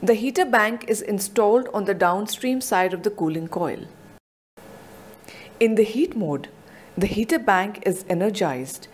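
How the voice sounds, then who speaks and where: A woman narrating a scripted technical explanation in a measured, explanatory voice-over. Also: mid-range voice, husky.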